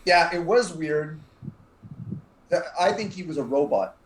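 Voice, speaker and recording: A man chatting casually as a panel guest, joking and halting as he finds his words. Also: on the high side, expressive. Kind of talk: casual chat. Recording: echoey room.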